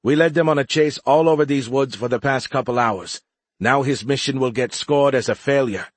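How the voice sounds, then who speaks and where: A man's voice: mid-range voice, normal speed, no echo, voice pushed up, close to an everyday voice. A man voicing a breezy, amused woman chief pilot in an audiobook. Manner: breezy, mirthful. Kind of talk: audiobook character voice.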